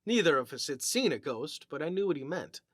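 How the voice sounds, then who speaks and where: A man reading an eerie classic short story aloud as scripted narration, with theatrical, deliberate phrasing. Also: a little high, sing-song, dry recording, clean studio sound. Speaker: story reader.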